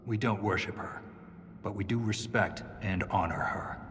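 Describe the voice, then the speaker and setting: An actor playing a battle-weary dwarven lieutenant in a video game, with a dry, blunt, sardonic delivery. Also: male voice, lowish voice, moderate pace, fairly bright tone, lightly acted. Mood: matter-of-fact. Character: wry, battle-weary male dwarven lieutenant, veteran soldier. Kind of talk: video game cutscene acting. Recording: long cavernous reverb, music underneath.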